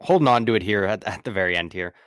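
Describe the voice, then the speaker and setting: A man co-hosting a casual sports podcast, talking haltingly with lots of ums while fighting a sore throat. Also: fairly low pitch, expressive, a bit bright, clear, resonant tone, no room sound, studio quality. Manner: halting, many ums and restarts. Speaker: podcast co-host.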